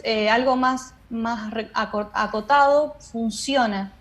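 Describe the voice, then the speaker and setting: A woman explaining her work in an interview, phrasing it a little hesitantly as she goes. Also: a little high. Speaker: interviewed radio drama director.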